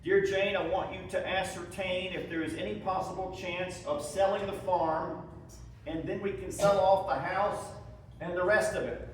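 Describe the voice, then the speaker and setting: Echoey, high-pitched man playing a homesick soldier. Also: steady tone, moderate pace, a little hiss or hum, close to an everyday voice. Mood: hopeful. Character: weary, homesick Civil War soldier husband, aggrieved but tender. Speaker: reader at a historical presentation. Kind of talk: dramatic reading of historical letters. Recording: echoey room, distant microphone.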